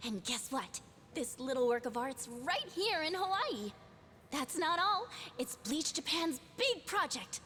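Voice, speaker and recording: A woman's voice: high voice, sing-song, a little slow, bright, forceful tone, a little hiss or hum, exaggerated voice. An actress playing a cheeky young female livestreamer in a video game, theatrical and mock-innocent as she addresses her viewers. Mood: perky, taunting. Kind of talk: livestream host acting in a video game cutscene. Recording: music behind the voice.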